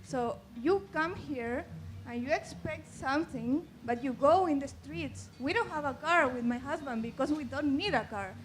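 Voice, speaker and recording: A young woman from Chile speaks up from the audience in English, which is her second language, with a clear Chilean Spanish accent. She is earnest and slightly apologetic and sometimes gropes for words. Her voice sits high. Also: moderate pace, slight background noise. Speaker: audience member asking a question. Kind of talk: public Q&A remark.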